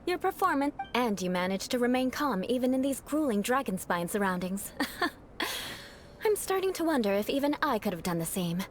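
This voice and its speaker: A haughty young noblewoman knight from a video game, speaks in a refined, stern, theatrical way. Her voice sits a little high and swings expressively, with a faint noise behind it. She sounds secretly caring under the severity. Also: big pitch swings, moderate pace. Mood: composed, formal.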